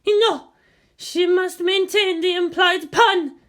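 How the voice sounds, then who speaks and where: A narrator voicing a gentle, serenely respectful woman guide in an audiobook story. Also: very high-pitched, fairly even intonation, slow pace, pitched above the performer's own voice, heavily acted. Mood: agitated.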